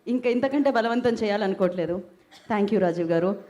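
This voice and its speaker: A woman's voice: mid-range voice, fairly quick, mellow. A woman hosting a live stage event in a playful, teasing, chatty way. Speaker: event host, anchor.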